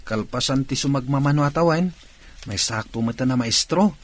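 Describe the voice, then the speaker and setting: A man's voice: mid-range voice, normal speed, close to an everyday voice. A man playing an earnest, hopeful son of a poor village family in a radio drama, with an Ilocano accent.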